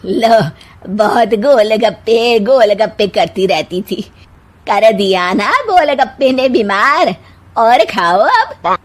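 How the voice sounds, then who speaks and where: A woman voicing a taunting, sarcastic mother-in-law in an animated cartoon, with a mocking way of talking. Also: a little high, takes it slowly.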